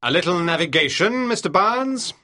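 Casting: woman reading a stern male ship captain, commanding and quick to anger, lively pitch. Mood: patronizing. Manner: clipped, commanding, furious outbursts. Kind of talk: audiobook reading.

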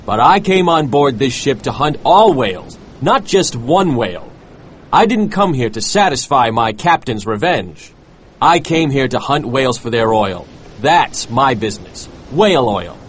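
A man's voice: mid-range voice, sing-song, unhurried, slight background noise. A man voicing a grim, cautious, practical ship's mate in an audiobook, speaking earnestly and in protest. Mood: defiant. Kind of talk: dramatized audiobook character voice.

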